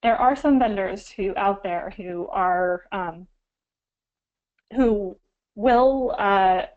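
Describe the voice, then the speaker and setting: A woman's voice: mid-range voice, extremely slow, phone-quality sound. A woman archivist getting over a cold, slightly froggy, giving an instructional webinar with frequent ums and self-corrections.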